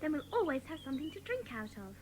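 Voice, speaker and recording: A cheerful, capable little schoolgirl in a children's audio drama. Her high voice is very lively, with noticeable noise in the background. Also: quick pace.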